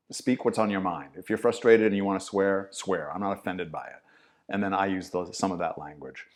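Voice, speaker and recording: A middle-aged man with a low-pitched voice talks easily as a podcast guest. He is an acting teacher who tells stories and acts out other people's lines with a lot of animation, salty words included. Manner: animated storyteller, acts out quotes with swearing. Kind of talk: casual conversational interview.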